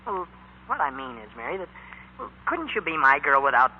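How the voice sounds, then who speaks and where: A man's voice: on the high side, big pitch swings, a little fast, husky. An actor playing an eager, hapless teenage boy who stammers and pleads, in 1940s radio style. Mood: sheepish, earnest. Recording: muffled, noisy 1940s radio recording.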